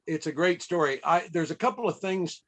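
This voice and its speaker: A man hosting a radio event over a video call, telling stories in a chatty, folksy and halting way. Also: on the high side, fairly bright tone. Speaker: interviewer and radio event host. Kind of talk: casual reminiscing on a video call.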